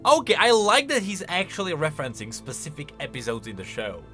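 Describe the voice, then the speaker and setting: Male commentary host. Bright, forceful voice with lots of swing, conversational and emphatic. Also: fairly high pitch, wildly swooping pitch, brisk, faint music behind. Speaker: video host. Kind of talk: casual reaction and explanation commentary.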